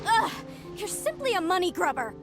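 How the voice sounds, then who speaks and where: An actress voicing an earnest young female scholar who gets quickly exasperated, in a studio game, with game music behind. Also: extremely high pitch, big pitch swings, exaggerated voice.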